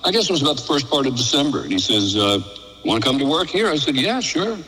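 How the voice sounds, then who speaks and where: An older American man with a bright, forward voice tells stories casually, as a radio veteran would in an interview. He is chatty and slips into quoted dialogue as he recounts things. The sound carries a long, hollow reverb. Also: mid-range voice, sing-song, fast, faint music behind. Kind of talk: casual anecdotal storytelling. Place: remote call into a live radio broadcast.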